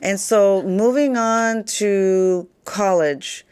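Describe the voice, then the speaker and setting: A woman's voice: medium pitch, drawn-out words, a little mellow, smooth. A woman hosting an interview, encouraging her guest with frequent affirmations. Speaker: host. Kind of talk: conversational interview.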